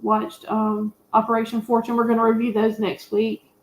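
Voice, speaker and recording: A woman's voice: a little high, completely flat delivery, moderate pace, a little hiss or hum. A woman chatting casually and emphatically about films and series as an opinionated panelist. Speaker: panelist on a movie review show. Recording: roomy, echoey sound.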